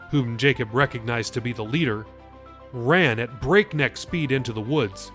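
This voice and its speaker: A man's voice: mid-range voice, a bit bright. A man reading his own novel aloud as an audiobook, with dramatic shifts in pace. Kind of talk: audiobook narration.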